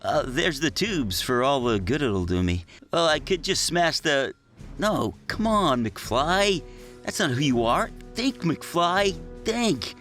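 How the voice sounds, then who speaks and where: A man's voice: a little high, sing-song, slow, a bit bright, soft background music, full character voice. A man voicing a plucky, nervous teenage boy in a motion comic, slangy, exclamatory and prone to stammering. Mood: puzzled, muttering. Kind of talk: animated character acting for a motion comic.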